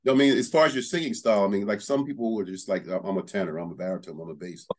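A man's voice: lowish voice, racing. A middle-aged man hosting a casual interview in a small studio, chatty and enthusiastic, speaking into a studio mic. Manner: chatty, enthusiastic, many "you know"s. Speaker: show host. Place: studio with banner backdrop. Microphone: studio mic on stand.

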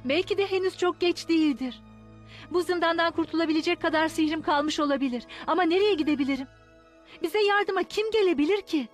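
Very high-voiced proud sorceress. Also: female voice, fairly quick, faint music behind. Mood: earnest, hopeful. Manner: dramatic, emphatic. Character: repentant sorceress, proud, earnest and defiant. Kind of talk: dubbed cartoon drama acting.

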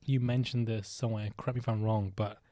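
A man hosting a podcast, asking relaxed and empathetic questions. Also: lowish voice, fast, no room sound, studio quality. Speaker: podcast host. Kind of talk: casual podcast interview. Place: small studio with sofa and purple lighting. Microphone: studio boom mic close up.

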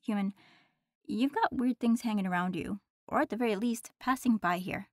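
A woman's voice: medium pitch, moderate pace, lightly acted. A woman acts out a sardonic female demon in an audio roleplay. Her mid-range voice is teasing and nonchalant. The recording is close and dry, with no room echo. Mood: needling.

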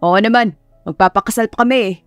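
A woman's voice: fairly high pitch, fast talker, dry recording, lightly acted. A woman acts out a cocky young male driver in a romance audiobook. He is cheerful, breezy and playful, recorded dry with music underneath. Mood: teasing.

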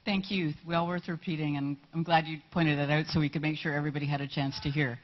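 Woman moderator at a conference, brisk and polite, slightly muffled hall sound. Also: low voice, flat delivery. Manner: brisk, polite, keeps things moving. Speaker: panel moderator.

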